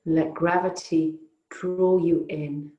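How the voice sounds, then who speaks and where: A woman teaching yoga nidra, guiding a relaxation in a soothing, unhurried, gently inviting way with long pauses. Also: lowish voice, fairly even intonation, reverberant, dull audio. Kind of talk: guided relaxation meditation.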